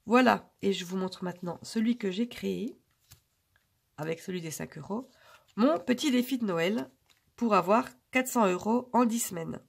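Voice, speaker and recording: Hoarse, gravelly woman vlogging casually. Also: mid-range voice. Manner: chatty, rambling, many filler pauses. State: hoarse from a cold. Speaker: budgeting vlogger. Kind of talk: casual chat to viewers.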